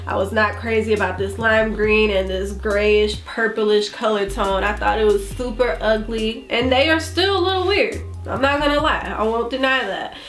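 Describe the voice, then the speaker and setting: Stuffed-up nasal girl doing a chill sneaker vlog, kinda high voice, echoey room w/ light music. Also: normal speed, few pauses. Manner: chatty, loose, many fillers. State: congested, sick with bronchitis. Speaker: sneaker vlogger. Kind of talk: casual vlog review.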